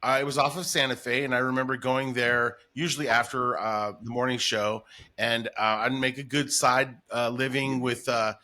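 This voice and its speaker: A man's voice: medium pitch, flat delivery, moderate pace, pushed and bright. An American man hosting a casual interview, talking in an enthusiastic, rambling storyteller's way. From Denver, United States. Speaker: podcast host, former morning radio voice talent.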